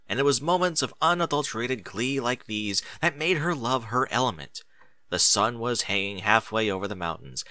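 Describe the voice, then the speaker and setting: Expressive, bright-voiced man narrating a story. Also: fairly low pitch, dry recording. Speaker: narrator of fan fiction audiobook. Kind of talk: scripted dramatic story narration.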